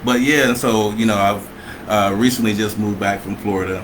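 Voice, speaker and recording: Deep-voiced middle-aged man. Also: normal speed. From Michigan, USA. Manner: relaxed, hesitant with many fillers. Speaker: guest, chef. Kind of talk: casual conversation on a livestream. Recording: noisy home recording.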